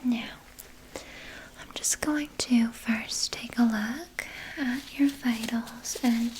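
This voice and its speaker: Woman as a slow, whispering, politely sinister vampire nurse. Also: on the high side, fairly even intonation, a little hiss or hum, poor audio. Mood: coaxing, doting. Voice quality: breathy whisper. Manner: slow, gentle, hushed, coaxing with veiled menace. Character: soft-spoken female vampire nurse, doting, politely sinister. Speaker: ASMR performer. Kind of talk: whispered ASMR personal-attention roleplay. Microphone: close ASMR microphone.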